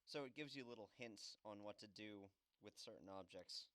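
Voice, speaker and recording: A man's voice: fairly low pitch, normal speed, heavy background noise. A man giving casual video game commentary as he plays. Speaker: video game let's-player. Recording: game music playing loudly behind voice. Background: orchestral video game music.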